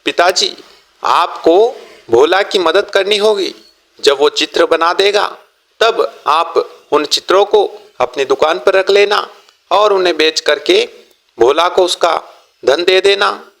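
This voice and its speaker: Man playing a high-voiced, encouraging young friend. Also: slow, vocal fry, a bit bright, heavily acted. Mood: earnest, persuasive. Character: helpful, encouraging young friend, a merchant's son.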